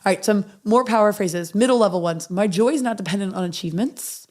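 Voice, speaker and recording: A woman with a raspy voice, hoarse from a recent illness, hosts a motivational podcast. She speaks earnestly at a middle pitch. Her affirmations come out quiet and unhurried. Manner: earnest, reading affirmations softly and slowly. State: hoarse from recovering after illness. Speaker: podcast host.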